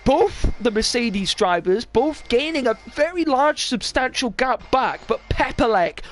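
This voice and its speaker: A sim racing commentator calling a live league race with excitement, voice going strained and hoarse, often quizzing the co-host. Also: mid-range voice, measured pace. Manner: excitable, asks co-host questions. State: straining, losing voice.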